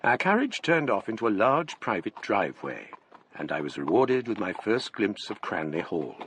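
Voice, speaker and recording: A low male voice narrates a gothic radio drama as a scholarly Englishman. The delivery is formal and literary, with expressive swings in pitch and an English accent. A faint noise sits behind it. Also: normal speed. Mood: brooding, measured. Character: scholarly Englishman recounting a gothic tale from his past.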